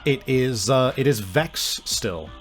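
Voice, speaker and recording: A man runs a horror tabletop game as game master. He improvises vivid narration with hesitant pauses in a medium-pitched voice, and faint guitar music plays behind him. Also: lively intonation.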